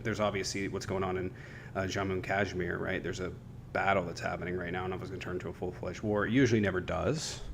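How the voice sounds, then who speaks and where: Male livestream panel co-host, fairly deep, casual debating style, faint background noise. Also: gravelly. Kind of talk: casual political debate chat. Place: livestream audio call.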